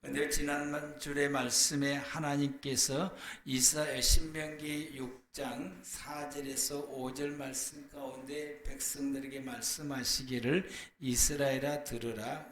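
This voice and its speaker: Male pastor giving a sermon, hoarse from a cold, echoey room w/ some faint noise. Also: medium pitch, fairly even intonation, unhurried, hardly any pauses, a bit bright. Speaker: pastor preaching. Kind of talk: Protestant church sermon. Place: church, speaking to a congregation.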